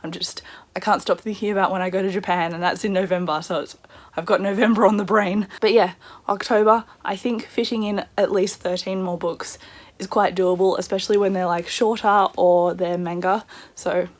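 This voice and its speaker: A woman with a croaky voice, chatting casually in a book vlog with excitable asides. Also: medium pitch, moderate pace. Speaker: book vlogger.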